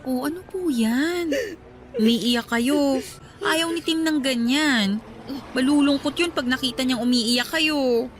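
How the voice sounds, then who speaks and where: A woman's voice: high voice, takes it slowly. A young woman acting the part of a caring grieving fiancée in a radio drama, talking in a respectful, reassuring way. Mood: consoling. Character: devoted young widowed fiancée, caring and polite.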